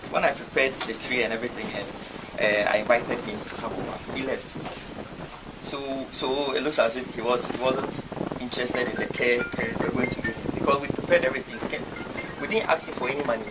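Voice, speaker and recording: Medic explaining amid loud noise. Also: male voice, medium pitch, fairly quick. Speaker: hospital medical staff member. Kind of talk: spoken account in conversation. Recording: very loud ambient background noise.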